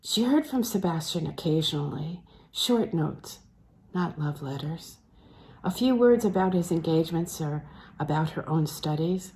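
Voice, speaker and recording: A woman reading a literary novel aloud in a measured, phrase-by-phrase way, letting phrase endings fall soft and breathy. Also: sits low, lively intonation. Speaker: audiobook reader.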